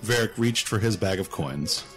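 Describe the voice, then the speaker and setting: A man's voice: on the low side, animated, normal speed, a bit bright, faint music behind. A man narrating a scripted fantasy story as an audiobook narrator.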